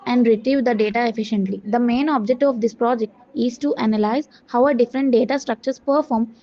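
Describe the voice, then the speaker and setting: A dull, lo-fi recording of a woman with a fairly high voice. She reads a prepared project presentation like a student, haltingly, tripping on words and correcting herself as she goes. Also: mellow. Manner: halting reading with stumbles and self-corrections. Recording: dull, muffled lo-fi audio.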